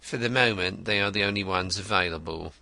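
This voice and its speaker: A man's voice: deep voice, fairly quick, pushed and bright, heavily acted, rough recording. Man reading as a dry, wry detective. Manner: dry, teasing, questioning. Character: shrewd, wry male detective, dry and probing.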